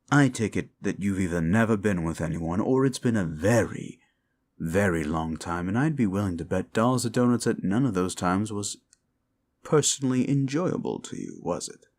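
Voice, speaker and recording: A man playing a sinister, mocking demon in a roleplay ASMR style, slow and theatrical, with a gravelly voice and condescending, drawn-out words. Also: deep voice. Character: sinister mocking male demon, condescending soul-hungry tempter. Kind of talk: roleplay comfort ASMR acting.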